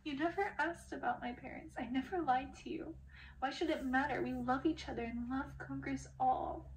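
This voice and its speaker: A woman voicing a meek, gentle young farm maiden in love, from a storybook, speaking earnestly. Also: sits high, fairly even intonation, moderate pace, slight background noise, low-quality recording. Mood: hurt, pleading.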